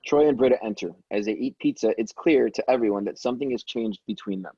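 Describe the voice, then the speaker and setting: Man, matter-of-fact table-read narrator, mellow husky voice, lo-fi recording. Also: medium pitch, quick pace, no room sound. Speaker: stage directions reader at a table read. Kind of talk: reading script directions aloud.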